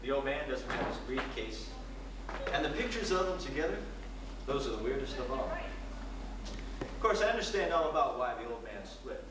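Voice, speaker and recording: A man's voice: medium pitch, sing-song, normal speed, long pauses, lightly acted. Young man acting a disillusioned teenage son, very echoey. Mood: wry. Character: disillusioned teenage son talking about his divorced parents. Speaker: student actor. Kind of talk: rehearsed readers theatre monologue. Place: school room with wooden floor. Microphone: distant camcorder microphone. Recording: echoey, noisy camcorder from far off.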